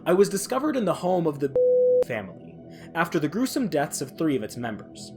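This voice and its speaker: Guy reading as a living toaster from a horror story, clinical and measured, faint music behind. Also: on the high side, huge pitch swings. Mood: clinical, matter-of-fact. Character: sentient ordinary toaster describing itself as a clinical case file. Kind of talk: dramatic reading of scripted horror fiction.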